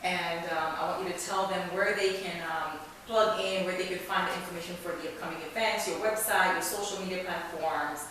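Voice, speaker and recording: A woman's voice: fairly low pitch, steady tone, brisk, hardly any pauses, fairly bright tone. A woman hosting a talk show, chatting in a conversational interview style. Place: echoey indoor room. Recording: echoey room, distant mic, hiss.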